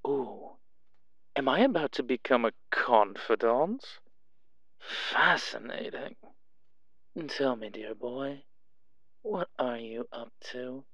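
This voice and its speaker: Man acting as theatrical shady dealer, male, unhurried purring drawl, coy, prying, audio drama, close dry slightly muffled sound. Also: mid-range voice, animated, fairly bright tone, dry recording, exaggerated voice. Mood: prying, delighted.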